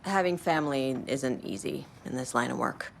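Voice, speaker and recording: A woman's voice: a bass voice, normal speed, creaky. A woman playing a blunt, wry government agent with authority in a video game, speaking in a matter-of-fact manner. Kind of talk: video game cutscene acting. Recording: light ambient background noise.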